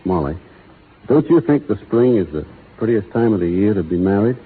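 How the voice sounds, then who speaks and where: A laconic drawling cowboy. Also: male voice, on the low side, very animated, breathy edge. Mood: earnest. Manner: slow folksy drawl with plain rural speech. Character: laconic young cowboy courting a schoolteacher. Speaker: lead actor in a radio play. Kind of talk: 1930s radio drama acting. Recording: tinny 1930s radio broadcast with faint hiss.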